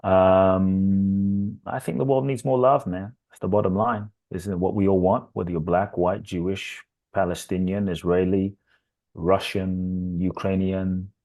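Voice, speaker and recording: A man's voice: sits low, steady tone, measured pace, a little mellow. A man speaking as a podcast guest, a transformational teacher giving earnest answers that build up through repetition. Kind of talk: reflective interview answers.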